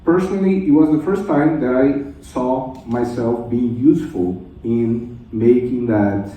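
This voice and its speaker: A man's voice: mid-range voice, a little slow, warm tone. Native Portuguese-speaking man, very muffled and echoey. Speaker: conference panelist, translation technology professional. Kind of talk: informal panel talk. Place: indoor conference room. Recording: echoey conference room, distant mic.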